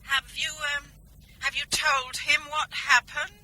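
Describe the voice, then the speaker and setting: A woman's voice: high voice, level tone, a little slow, bright, forceful tone, exaggerated voice, rough recording. An actress playing an older, meddling mother in a radio drama, hesitant and nagging in a roundabout way. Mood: anxious, prying. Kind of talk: BBC radio drama acting.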